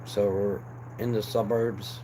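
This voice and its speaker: A young man with a lowish voice and a hoarse, fading throat chats on a home livestream, wandering from thought to thought with lots of ums and restarts. A steady hum of background noise sits clearly under him. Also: slow, rough recording. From New Jersey, USA. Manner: rambling, relaxed, many fillers and restarts. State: losing his voice. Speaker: livestream host. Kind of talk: casual live chat.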